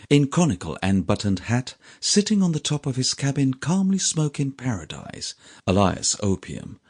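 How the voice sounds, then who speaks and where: A man with a mid-pitched voice narrates literary prose from an audiobook. His intonation is highly animated, moving widely up and down. The delivery is measured and theatrical, in the manner of a storyteller. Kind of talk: scripted literary narration of a sea adventure.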